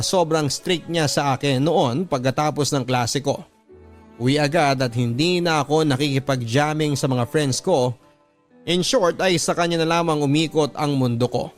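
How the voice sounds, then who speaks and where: A man hosting a radio story show, reading listener letters aloud in an earnest, measured way. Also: a little high. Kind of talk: scripted narration of letters. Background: faint background music.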